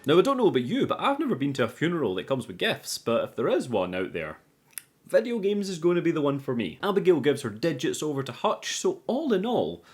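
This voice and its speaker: Lively, animated man recapping a movie. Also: on the high side, brisk. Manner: jokey, informal, sweary. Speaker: host of a movie review show. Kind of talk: casual scripted film recap commentary.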